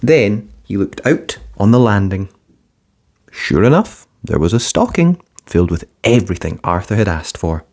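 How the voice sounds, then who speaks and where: A man reading a children's picture book aloud in a gentle, expressive bedtime-story manner. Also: on the low side, normal speed.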